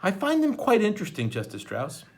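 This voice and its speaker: A man's voice: high voice, huge pitch swings, a little fast, breathy edge, voice pushed up, heavily acted. A man voicing an earnest, clever and guarded girl in a storybook read-aloud. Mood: polite.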